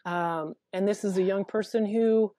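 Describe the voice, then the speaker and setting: Deep-voiced woman, therapist guest, earnest and candid, mellow tone, dry sound, earphone mic on a video call. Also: fairly even intonation, unhurried, dry recording. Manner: frank and earnest, thinks aloud with fillers and restarts. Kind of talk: candid interview conversation. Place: small room at home with a window.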